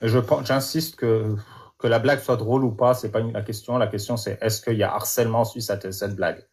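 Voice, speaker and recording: A man's voice: on the low side, fairly even intonation, fairly quick, a little mellow. A man arguing insistently in a live debate. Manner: insistent, argumentative. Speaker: debate participant.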